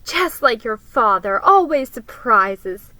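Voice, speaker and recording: A woman's voice: a little high, very animated, takes it slowly, pushed and bright, no room sound, exaggerated voice. A woman voicing an affectionate, composed mother speaking to her children in a storybook. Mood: fond.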